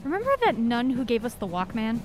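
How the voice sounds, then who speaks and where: A woman playing an excitable, resolute heroine on the run in an audio drama, earnest and urgent. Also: fairly high pitch, brisk, background noise.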